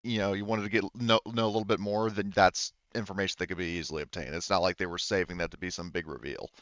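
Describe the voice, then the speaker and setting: Low, smooth-voiced man, casual game master. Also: fairly even intonation, fast, a bit bright, no room sound, muffled recording. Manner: easygoing, informal, explanatory. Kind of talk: casual explanation of a tabletop game.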